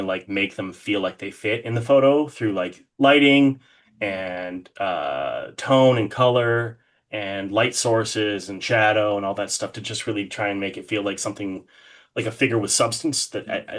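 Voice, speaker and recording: A man speaking as an interview guest in a casual, rambling, informal way with lots of hedging. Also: on the low side. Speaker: interview guest, horror artist.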